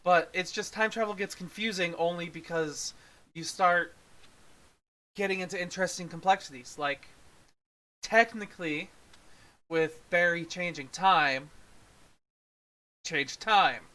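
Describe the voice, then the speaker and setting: A man with a fairly high, very bright voice in a casual review conversation. He rambles as a co-host and often leaves thoughts hanging halfway through. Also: unhurried, pauses often. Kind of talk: casual film review chat.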